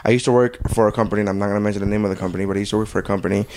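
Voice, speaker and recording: A man's voice: lowish voice, steady tone, rapid-fire, darkish tone, slight background noise. A man from Miami telling stories in casual chat, joking and swearing freely.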